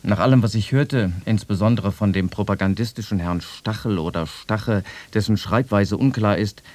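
A man's voice: lowish voice, normal speed, darkish tone, lightly acted. A man playing a wry soldier-writer, reading a personal letter to a friend in a radio feature. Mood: wry, resigned. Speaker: quote reader in a radio feature.

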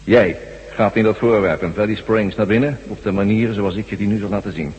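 An actor playing a mysterious, commanding armed stranger in a radio drama, terse, evasive and coolly menacing. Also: male voice, lowish voice, fairly quick, darkish tone, slightly rough, muffled recording. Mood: instructing, secretive. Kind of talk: Dutch radio drama acting. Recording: hum and noise, long reverb.

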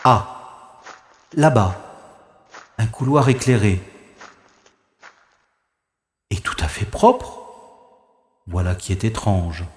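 A man playing a cautious, wry infiltrator who thinks aloud in a deliberate, dramatic monologue from an audio science-fiction adventure. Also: on the low side, expressive, echoey room. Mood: curious, wary. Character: cautious, wry male infiltrator narrating his secret mission.

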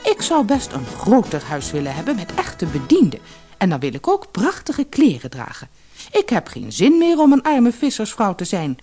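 A woman's voice: mid-range voice, huge pitch swings, normal speed, warm tone, soft background music, voice pushed up. A woman voicing a demanding, never-satisfied fisherman's wife with haughty airs in a fairy-tale audiobook. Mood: complaining. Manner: imperious, complaining.

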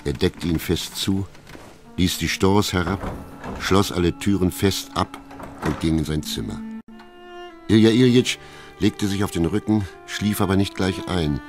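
A man's voice: sits low, measured pace. A man reading scripted literary narration as the narrator of a radio play. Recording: music behind the voice.

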